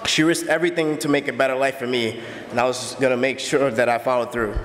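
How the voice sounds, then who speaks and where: A man in his early twenties from the Bahamas, earnestly telling his own story as a steady personal testimony. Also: medium pitch, quick pace, in a large hall, slight background noise. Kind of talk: personal testimony, read aloud.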